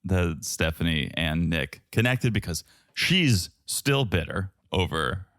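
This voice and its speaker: Southern guy cohosting a podcast, deep voice, dry little jokes, dead dry sound. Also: sing-song, slow pace, a bit bright, dry recording. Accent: Southern American. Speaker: podcast co-host. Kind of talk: casual chat.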